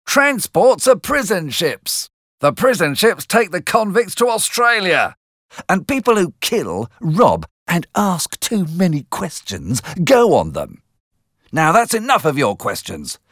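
A woman's voice: fairly low pitch, huge pitch swings, slow pace, no room sound, clean studio sound, voice pushed up, heavily acted. A man voicing a hard, violent older woman who scolds a boy, in a storybook reading. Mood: scolding.

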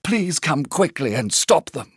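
Woman putting on a tired worried old man, storybook style, deep for her, anxious and pleading. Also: big pitch swings, a little slow, husky, no echo, clean studio sound, heavily acted. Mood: urgent, pleading. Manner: weary, anxious.

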